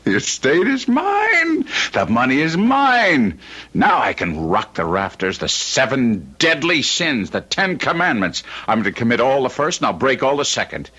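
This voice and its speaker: Greedy evil young heir in an old radio play, raspy n sharp, super theatrical. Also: male voice, mid-range voice, wildly swooping pitch, a little slow, muffled recording, full character voice. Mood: gloating. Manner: theatrical, cackling, sneering. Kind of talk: old-time radio drama acting.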